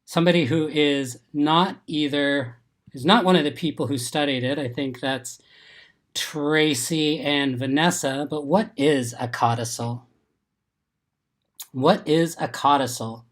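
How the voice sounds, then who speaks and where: A man's voice: on the high side, a little slow, a bit bright. A man recovering from an illness, sounding a little congested, hosting a live exam-prep webinar and reading questions and answers from the chat in an upbeat, encouraging way. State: congested, getting over an illness.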